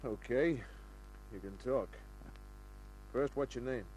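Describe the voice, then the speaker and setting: An actor playing a cool, sardonic private detective in an old-time radio crime drama. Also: male voice, mid-range voice, slow, darkish tone, slight background noise, natural voice, low-quality recording. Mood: cool, guarded. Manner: dry, sardonic, unruffled.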